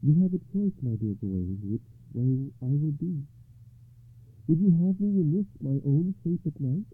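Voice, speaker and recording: A man voicing a bold, knowing, monstrous lady under enchantment, speaking in a deliberate, stately way for a told legend. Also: medium pitch, breathy edge, pitched above the performer's own voice. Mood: testing, serious. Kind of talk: storytelling character voice. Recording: muffled phone-like narrow-band sound.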